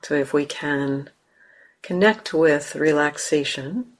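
A woman with an American accent, a meditation teacher leading a guided meditation slowly and softly, with long pauses. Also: deep voice. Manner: slow, soft, calm, with long pauses. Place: meditation hall.

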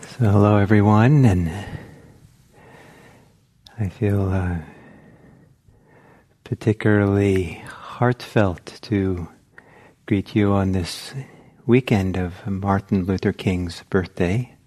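A man teaching meditation in a gentle, reflective, halting way, leaving long pauses between short phrases. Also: deep voice, steady tone. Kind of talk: guided meditation talk.

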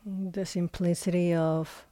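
Slow, mellow woman guiding meditation. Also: fairly low pitch, level tone, dry recording. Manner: unhurried, with long pauses between short phrases. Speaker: meditation teacher. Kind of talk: guided meditation instruction.

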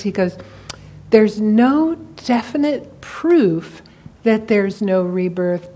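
A woman's voice: on the low side, mellow. A woman teacher of Tibetan Buddhism answering on a discussion panel, talking casually and telling stories with animation.

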